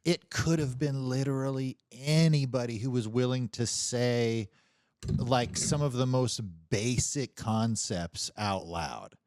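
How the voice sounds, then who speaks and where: A man's voice: mid-range voice, takes it slowly, darkish tone, dry recording, studio quality. A man chatting casually about politics on a livestream, emphatic and exasperated, swearing often.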